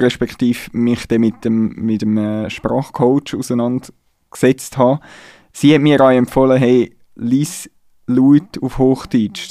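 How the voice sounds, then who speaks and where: A man's voice: mid-range voice, mellow, no echo, clean studio sound. A man with a Swiss accent, a musician talking as a guest in a casual interview, thinking aloud and restarting his sentences as he searches for words.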